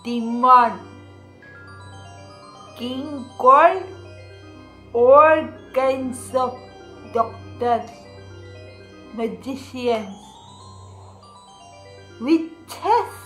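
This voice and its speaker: A woman voicing a gossipy innkeeper in a folk tale, with an Indian accent and halting, clipped broken English. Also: high-pitched, sharp tone, soft background music, full character voice. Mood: conspiratorial, gossipy. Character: gossipy Indian innkeeper sharing bad news.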